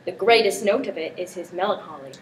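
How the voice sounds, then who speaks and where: A teenage girl playing a teasing, excitable prince in an amateur Shakespeare performance. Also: fairly low pitch, a little fast, lightly acted. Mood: playful teasing. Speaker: student performer. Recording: distant mic with background noise.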